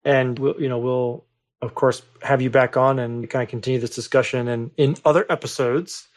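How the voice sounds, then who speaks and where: A man's voice: medium pitch, steady tone, moderate pace. Easygoing male podcast host. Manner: easygoing, loose phrasing with "kinda" and "you know". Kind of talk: casual podcast interview.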